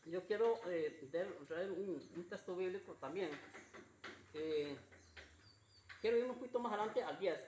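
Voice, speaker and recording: A preacher with a slightly husky voice gives an informal Bible sermon in a halting, repetitive, homespun way. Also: mid-range voice, a little mellow. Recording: roomy echo with faint background noise.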